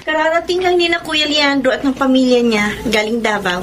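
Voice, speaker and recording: A high-voiced, exasperated young daughter. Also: female voice, steady tone, a little fast, a bit bright, slight background noise. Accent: Filipino. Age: young adult. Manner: pleading, scolding. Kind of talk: Tagalog radio drama acting.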